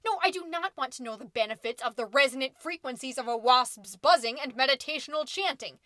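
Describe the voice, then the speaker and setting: A woman's voice: high voice, a little fast, bright, forceful tone, no room sound, studio quality. A woman playing a catty, indignant mom who hosts a podcast, delivering a sarcastic, melodramatic comedic monologue. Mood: exasperated, ranting. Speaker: podcast host.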